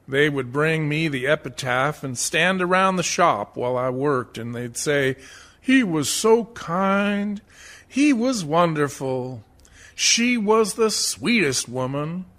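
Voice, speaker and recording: A man plays a rueful small-town stone carver in a radio verse drama. His voice is a little high for a man, and he speaks slowly, with quiet self-reproach. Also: animated. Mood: quoting mourners. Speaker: actor in a community theatre radio play.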